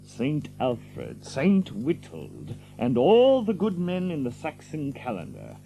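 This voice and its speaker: An actor playing a bold, hot-tempered outlaw leader in an archaic audio drama, with a blustering, declamatory manner. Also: male voice, on the high side, huge pitch swings, slow pace, vocal fry, mellow, slight background noise, full character voice, rough recording. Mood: indignant.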